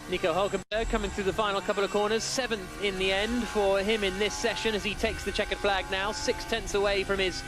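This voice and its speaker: A man's voice: high voice, level tone, fairly quick, hardly any pauses, music in the background. A man giving live commentary on a motor racing session as the lead commentator.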